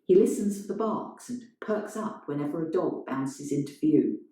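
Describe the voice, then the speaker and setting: A woman reading literary fiction aloud as a storyteller, in a measured, dramatic way. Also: sits low, animated, warm tone. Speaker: story reader. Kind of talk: scripted literary narration, performed reading. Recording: very echoey room.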